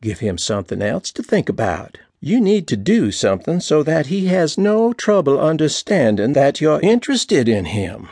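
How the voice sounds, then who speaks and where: Man voicing a teasing young Southern woman, pitched up. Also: big pitch swings, moderate pace, hardly any pauses, a little mellow, breathy edge, dry recording, studio quality, full character voice. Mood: frank, urging. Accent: Mississippi. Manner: frank, playful, coaxing. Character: pregnant, teasing, bold young Southern sister-in-law.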